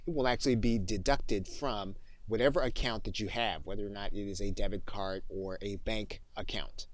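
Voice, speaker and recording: Man with a lowish voice narrating a tutorial. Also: dry recording. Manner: methodical, instructional step-by-step delivery. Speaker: software tutorial instructor.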